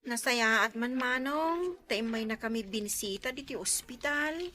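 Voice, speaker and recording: A woman's voice: a little high, unhurried, sharp tone. A woman with an Ilocano accent playing a meek, heartbroken younger sister-in-law in a comedy drama. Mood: shy, polite.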